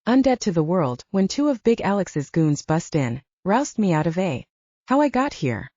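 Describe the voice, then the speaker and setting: A woman narrator voicing a gruff, complaining man with slangy speech in a fantasy audiobook. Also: lowish voice, big pitch swings, normal speed, dark tone, no room sound, dull audio. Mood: indignant. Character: Clark, gruff, grumbling, slangy wounded male character.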